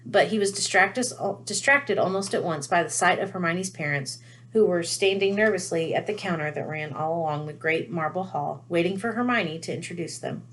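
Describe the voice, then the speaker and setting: A woman reading a children's novel aloud in an expressive storytelling style. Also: on the low side, moderate pace, low-quality recording. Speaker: read-aloud reader.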